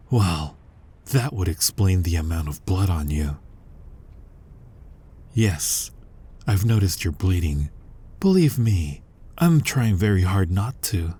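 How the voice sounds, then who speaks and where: A man acting as a menacing, centuries-old vampire hunter in an intimate ASMR roleplay, husky and breathy, commanding and often close to a whisper. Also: deep voice, darkish tone, full character voice. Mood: suspicious interrogation. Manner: commanding, interrogating, taunting with slow pauses. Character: centuries-old male vampire hunter, menacing, dominant, suspicious, inhumanly restrained. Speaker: voice actor in an audio roleplay.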